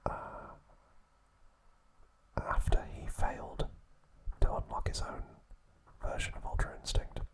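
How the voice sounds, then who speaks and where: A man whispers softly and breathily, as an ASMR host does. His delivery is very slow and gentle, broken into short, soothing phrases meant for relaxation. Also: low-quality recording. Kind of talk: whispered ASMR trivia narration and sign-off.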